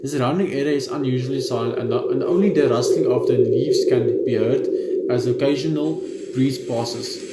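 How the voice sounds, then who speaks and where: A young man hosting a gaming video, reading game text aloud haltingly with casual commentary. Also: mid-range voice. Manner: halting when reading, stumbles over words. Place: indoor room at home. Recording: roomy echo, noticeable background noise.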